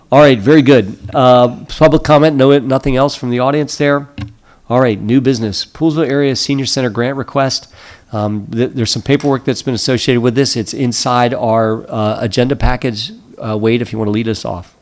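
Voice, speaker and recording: A man chairing a town commissioners' meeting, moving through the agenda with an easygoing, brisk manner and frequent "very good"s. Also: medium pitch, steady tone, darkish tone. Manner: affable, brisk procedural patter with repeated "very good".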